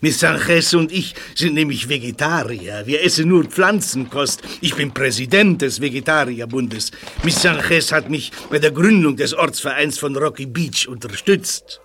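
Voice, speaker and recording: Man acting a bright, emphatic gentleman. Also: fairly high pitch, expressive, normal speed, a little hiss or hum. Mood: matter-of-fact. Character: brisk, opinionated adult gentleman, club president. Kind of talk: German audio drama acting.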